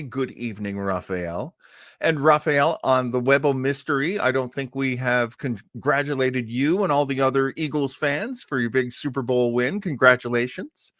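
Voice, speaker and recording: A man talks casually as a show host, close and dry on the microphone. His delivery wanders and circles back on itself, with jokey, self-deprecating asides. The pitch sits in an ordinary middle range. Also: a bit bright, dry recording. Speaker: host of an old time radio show program. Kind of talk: casual livestream chat.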